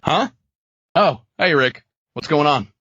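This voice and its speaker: A man's voice: a little high, lively intonation, slow, bright, forceful tone, no echo, lo-fi sound, full character voice. A man playing an easygoing camp survivor in a comic dub. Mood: casual. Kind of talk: dramatic comic dub voice acting.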